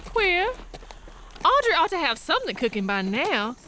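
Very shrill, fretful frontier neighbour woman. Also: wildly swooping pitch, moderate pace, bright, forceful tone, full character voice. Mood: puzzled. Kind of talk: radio drama acting. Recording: noisy, slightly distant scene.

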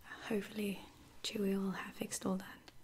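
A woman playing a caring space lieutenant in a whispered ASMR roleplay, breathy, hesitant and soft-spoken. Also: medium pitch, level tone, normal speed, natural voice. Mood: quietly worried. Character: caring, reassuring female space lieutenant tending a wounded soldier.

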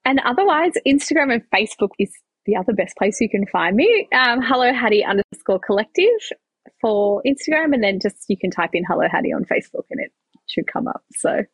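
An upbeat, chatty woman talking as a guest on a casual podcast. Her voice is a little husky and breathy. The sound is dry and close, with no room echo. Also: a little high, big pitch swings, fairly quick. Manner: chatty, upbeat, filler-heavy. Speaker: podcast guest, small business owner.

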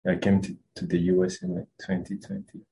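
A man's voice: low-pitched, completely flat delivery, brisk, creaky, warm tone, a little hiss or hum. A young man from Rwanda, a student answering interview questions in a hesitant, casual way with many fillers and restarts. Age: young adult.

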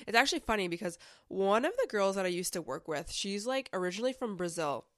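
A woman's voice: mid-range voice, big pitch swings, fast, dry recording, clean studio sound. A young woman hosting a casual self-help podcast, talking in a chatty, conversational way with lots of filler words while a little sick, her voice slightly off. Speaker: podcast host.

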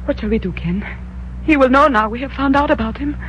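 An earnest young eastern princess. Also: female voice, sits high, a little fast. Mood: urgent. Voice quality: soft, formal, earnest. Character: young female ruler of an eastern kingdom, earnest. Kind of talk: 1950s radio drama acting. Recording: dull old radio broadcast with hum.

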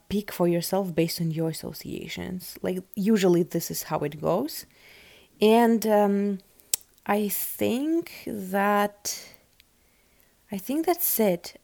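A woman's voice: mid-range voice, creaky, warm tone, dry recording. A woman, her language, hosting a casual solo podcast in a chatty, rambling way full of fillers. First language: Russian. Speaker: podcast host.

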